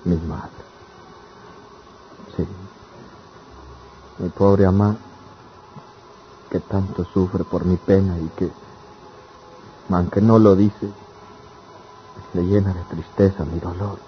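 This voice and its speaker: A man's voice: low voice, steady tone, slow pace, long pauses. An actor playing a poor, lovelorn and anguished young country man in a radionovela, speaking plaintively in rustic speech. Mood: sorrowful. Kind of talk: radionovela acting. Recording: dull, noisy old radio drama recording.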